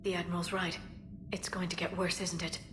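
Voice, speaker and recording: A woman's voice: lowish voice, little pitch movement, lightly acted. Woman playing an earnest alien scientist over noisy ambience. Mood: grave, concerned. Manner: measured, earnest, precise. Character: earnest, gentle female alien scientist, hopeful and grave. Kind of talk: video game cinematic acting.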